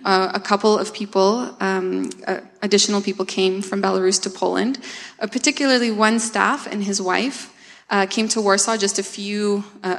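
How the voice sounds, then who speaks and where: A woman from Canada, who grew up speaking Russian at home, giving an earnest, plainspoken mission update to a church. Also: medium pitch. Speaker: campus ministry worker. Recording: long hall reverb.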